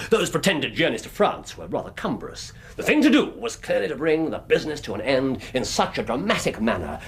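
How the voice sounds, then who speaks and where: An actor playing a shrewd, scornful Victorian detective in a British radio drama, English accent, speaking with precise, theatrical delivery. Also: male voice, mid-range voice, slightly rough.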